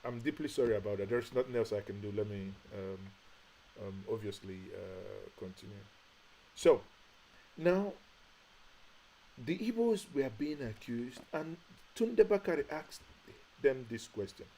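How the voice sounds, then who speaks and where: Impassioned Nigerian man, slightly husky. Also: medium pitch, animated, unhurried, mellow, poor audio. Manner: impassioned, emphatic preaching cadence, repeats rhetorical questions. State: straining, says he is shouting and has a headache. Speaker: online commentary host. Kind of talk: live-streamed political commentary.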